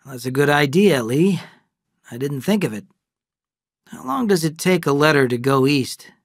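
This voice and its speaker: A man voicing a grave, widowed father of twins who speaks in a measured, earnest way. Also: on the high side, sing-song, no room sound, studio quality.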